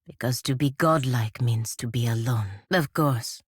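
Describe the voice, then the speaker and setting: A low female voice gives a proud, honor-bound fantasy game warrior her weight. She speaks formally and sternly in clipped phrases, with an embittered edge. The sound is very dry, free of room echo. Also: a little slow, slightly rough, heavily acted. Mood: quiet, reflective. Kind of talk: fantasy video game character dialogue.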